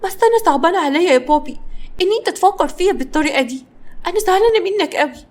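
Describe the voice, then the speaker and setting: A mischievous girl in a dramatized story, played by a woman, pitched very high. She lays on wounded innocence thickly, pleading in an exaggerated, plaintive way. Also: lively intonation, very fast, darkish tone. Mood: mock sad. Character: overacting mischievous girl feigning wounded sorrow to her daddy.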